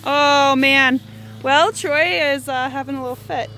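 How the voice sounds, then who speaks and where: A woman's voice: high voice, measured pace, pushed and bright. A woman talking casually with a young child as a mother filming outdoors, asking encouraging questions and repeating the child's words back. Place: outdoor backyard garden. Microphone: handheld phone camera held by the speaker. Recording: outdoor phone recording, faint background noise.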